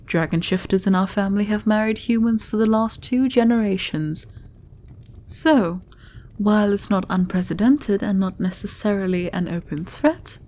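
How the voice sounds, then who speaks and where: A woman playing a shrewd, regal, mature queen in a fantasy audio roleplay, speaking in a stately, deliberate, formal manner. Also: medium pitch, mellow, close to an everyday voice. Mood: firm, businesslike. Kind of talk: audio roleplay fantasy acting, addressing listener.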